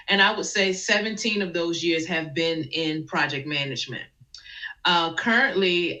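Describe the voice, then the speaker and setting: A woman's voice: fairly low pitch, sharp tone, low-quality recording. A woman in her forties from southern Virginia, speaking as a guest in an informal interview about her own work. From southern Virginia, USA. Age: 40s. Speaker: interview guest, project management executive.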